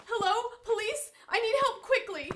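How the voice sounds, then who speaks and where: An actress playing a terrified sister in an audio drama, crying out in panic. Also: female voice, extremely high pitch, animated, normal speed, heavy background noise. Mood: panicked. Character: frantic, terrified sister screaming for help.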